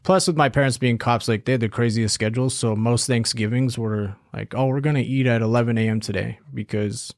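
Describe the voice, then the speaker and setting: An easygoing young man from Southern California, talking through memories in relaxed podcast chat. His voice sits at a middle pitch and comes across dry and close, with no room sound around it. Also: a little fast, hardly any pauses, dry recording. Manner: easygoing, reflective, says "like" often. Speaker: podcast co-host. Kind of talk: casual chat.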